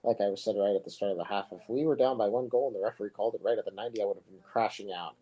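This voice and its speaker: Young male soccer play-by-play voice: low, monotone, mellow and husky, relaxed and rambling. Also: rapid-fire, poor audio. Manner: relaxed, rambling, chatty asides between plays. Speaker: soccer commentator. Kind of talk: casual live play-by-play commentary.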